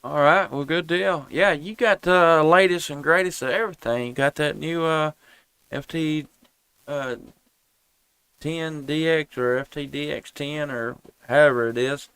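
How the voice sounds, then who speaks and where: A laid-back man chats on an amateur radio live stream. His voice is smooth and clear with a bright edge. The sound is close and dry. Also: fairly high pitch, a little slow, dry recording. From United States. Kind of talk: casual amateur radio chat. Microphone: headset and boom microphones.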